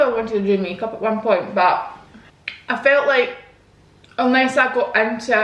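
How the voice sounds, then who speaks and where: A woman's voice: medium pitch, a bit bright. Chatty young woman, echoey room. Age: 20s. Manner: chatty, frank, slangy. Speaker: vlogger. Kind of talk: casual chat answering viewer questions. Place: home, indoors. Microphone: camera mic.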